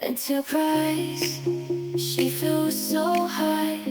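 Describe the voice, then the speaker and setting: Lady singing a sad slow ballad, music up loud. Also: high-pitched, steady tone. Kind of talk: singing a mournful tribute ballad. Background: prominent electronic lullaby-like music.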